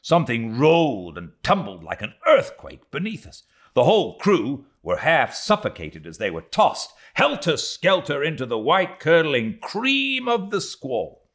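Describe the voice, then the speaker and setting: A British man giving a dramatic reading of a literary audiobook, theatrical in delivery, moving between swelling intensity and hushed tones. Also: on the high side, huge pitch swings, a little slow. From Britain. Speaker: audiobook narrator.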